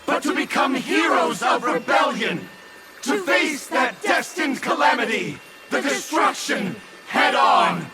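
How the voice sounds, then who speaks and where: Shouting, very high fervent male follower. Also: big pitch swings, a little slow, heavily acted. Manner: impassioned shouting. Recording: loud music and crowd din.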